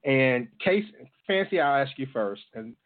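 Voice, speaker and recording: A man's voice: medium pitch, lively intonation, unhurried. Muffled male interview host. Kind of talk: conversational interviewing. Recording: muffled, band-limited audio.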